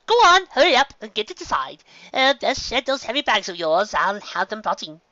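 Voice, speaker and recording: A man's voice: sits high, very animated, normal speed, bright, forceful tone, no room sound, muffled recording, voice pushed up, heavily acted. A man voicing a cheery, fussing old mare who welcomes guests in a folksy way, in a storybook reading. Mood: brisk, reassuring. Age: older. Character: kindly, welcoming old mare, eccentric pony hostess.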